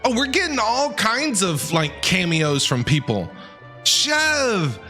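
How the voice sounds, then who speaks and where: A man reacting casually as a video game streamer, excitable and slangy. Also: sits high, slow pace, faint music behind. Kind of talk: casual live reaction commentary.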